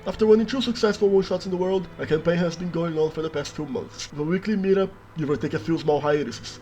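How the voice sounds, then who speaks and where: A man's voice: a little high, fast talker, dark tone, faint music behind. A man from Brazil, a native Brazilian Portuguese speaker, narrating a scripted voice-over in a dry, self-mocking way with dramatic emphasis. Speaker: worldbuilding video creator.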